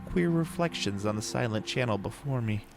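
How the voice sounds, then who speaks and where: A man's voice: mid-range voice, lively intonation, normal speed, gravelly, faint music behind. A man reading a horror story aloud in a theatrical, brooding, ornate literary delivery. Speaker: podcast host reading horror tales aloud. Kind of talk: dramatic scripted horror story narration. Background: atmospheric ambience sound bed.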